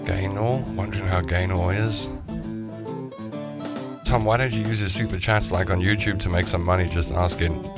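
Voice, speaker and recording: Deep man, rambling host chat, middle-aged, music in the background. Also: fairly even intonation, moderate pace. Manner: chatty, self-mocking, rambling asides. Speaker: live show host. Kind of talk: casual chat reading viewer comments. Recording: music playing behind the voice.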